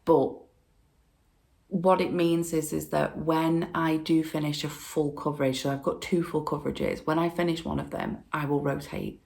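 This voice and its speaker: A low-pitched woman with a gravelly, hoarse voice from an oncoming cold. She chats casually to camera from home, rambling along with plenty of ums. Her voice sits deep for a woman, with a rough, creaky edge. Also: level tone, normal speed, a little mellow. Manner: chatty and rambling, lots of ums. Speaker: flosstube host.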